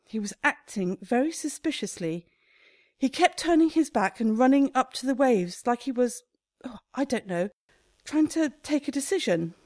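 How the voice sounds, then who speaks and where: Woman acting a haughty, animated shop boss. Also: high voice, dry recording, studio quality. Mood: concerned. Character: brisk, self-important female shop owner and boss India. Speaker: actor in amateur radio play. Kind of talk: radio comedy acting.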